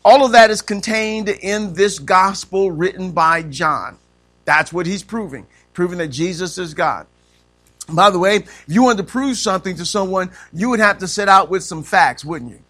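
A man's voice: sits high, moderate pace, a bit bright. A man, preaching a sermon as pastor, punctuating points with "amen" and rising emphatic questions. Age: 50s.

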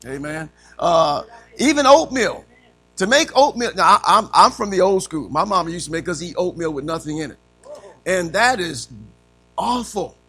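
A man teaching Sunday school in a folksy way, his voice a little raspy from allergies, dropping in amens often. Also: high voice, expressive, moderate pace, a bit bright. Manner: punctuates with frequent amens, calls to the class.

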